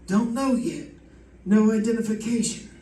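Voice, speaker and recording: A sardonic police detective acted by a man in an old-time radio mystery, bossy and clipped. The voice is strikingly high for a man, heard roomy and distant. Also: normal speed, mellow, natural voice. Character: sardonic, gruff-talking male police detective. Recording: roomy, distant stage pickup.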